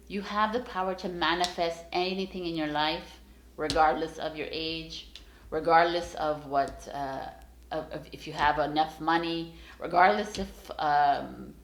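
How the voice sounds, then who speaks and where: Woman, tarot reader, low voice, rambling and encouraging, echoey room. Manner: rambling, encouraging, many fillers and restarts. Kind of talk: casual tarot reading to camera.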